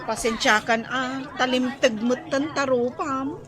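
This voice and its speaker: An actress playing an excitable, jealous, scolding wife in an Ilocano comedy radio drama, with an Ilocano accent. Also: female voice, high voice, measured pace, crowd noise. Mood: sympathetic.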